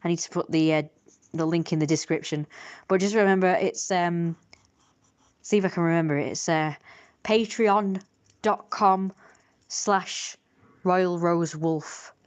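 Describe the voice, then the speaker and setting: Woman streamer, lowish voice, rambling casually and picking at her own work while drawing. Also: steady tone, a little slow, pauses often, smooth. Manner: rambling, self-critical.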